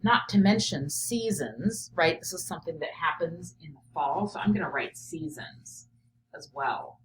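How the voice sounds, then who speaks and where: A woman teacher reading a picture book aloud and pausing to reflect on it out loud, often checking in with "right?". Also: sits low, animated, poor audio. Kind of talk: read-aloud of a picture book with think-aloud commentary.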